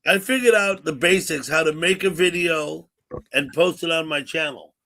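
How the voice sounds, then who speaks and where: A man's voice: a little high, moderate pace, gravelly, bright, forceful tone, slight background noise. A man in his sixties hosting a casual livestream call-in chat, rambling and repeating himself. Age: 60s. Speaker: livestream host.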